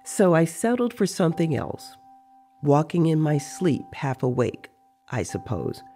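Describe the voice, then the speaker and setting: A narrator telling a dramatized story in a measured, deliberate way with pauses. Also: mid-range voice, big pitch swings, mellow. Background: music.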